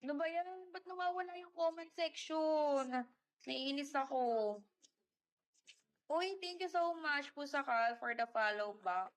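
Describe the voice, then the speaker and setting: A woman's voice: sits high, measured pace. A young woman, live-streaming and chatting casually, greeting viewers by name and mixing Tagalog with English. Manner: mixes Tagalog and English mid-sentence. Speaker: livestreamer.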